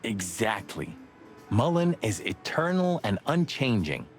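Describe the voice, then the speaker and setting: A man's voice: on the low side, big pitch swings, a little slow, soft background music. A man playing a world-weary rooster detective in a noir adventure game, speaking in a wry, reflective way. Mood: musing.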